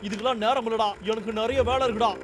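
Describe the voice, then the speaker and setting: A man voicing a hot-tempered, blustering and whiny logger, the comic villain of an animated cartoon dub. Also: extremely high pitch, very fast. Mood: angry, bossy. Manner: blustering, whining, exclamatory. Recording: loud music over the voice.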